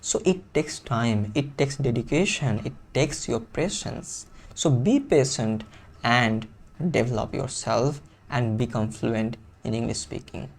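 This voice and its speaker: A man's voice: mid-range voice, vocal fry, a little mellow. A man with a Bengali accent, a native Bengali speaker, coaching viewers on English fluency in an earnest teaching monologue that repeats key phrases for emphasis.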